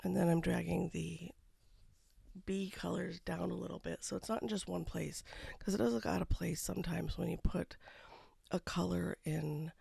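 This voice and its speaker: An artist talking casually over a painting time-lapse, with a froggy voice from a cold and a low-key, rambling manner. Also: fairly even intonation, normal speed, gravelly, a little mellow, slightly rough, dry recording. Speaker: watercolor artist. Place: home studio.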